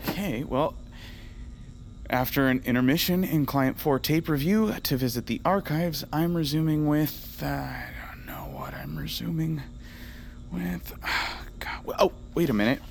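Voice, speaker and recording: A hesitant, slow-talking agent. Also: male voice, fairly high pitch, lively intonation, vocal fry. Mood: puzzled, hesitant. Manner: hesitant, mumbling, trailing off. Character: groggy, flustered male agent reviewing tapes. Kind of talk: audio drama acting.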